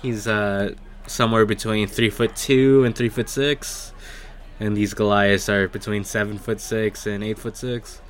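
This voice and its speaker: A man narrating a tabletop game as game master, improvising descriptions in halting phrases. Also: on the low side, flat delivery.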